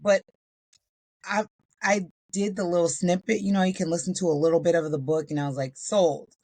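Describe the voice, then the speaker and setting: A woman co-hosting a casual talk show, her voice still a little husky from being ill, talking with enthusiasm in a folksy way. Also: on the low side, fairly even intonation, brisk, a little mellow, no room sound. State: slightly husky, recovering voice. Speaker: podcast co-host. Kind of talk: casual chat.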